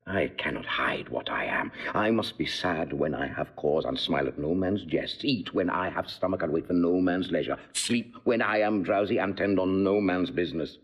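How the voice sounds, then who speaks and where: Deep, husky, brooding villain. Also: male voice, moderate pace, few pauses. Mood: sullen, bitter. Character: brooding, sullen villainous nobleman, bitter and disdainful. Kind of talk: classical Shakespearean audio drama acting.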